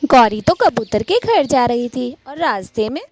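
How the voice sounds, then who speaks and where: A woman's voice: fairly high pitch, very animated, unhurried, slight background noise. A woman narrating a children's cartoon story as the storyteller between the characters' dialogue.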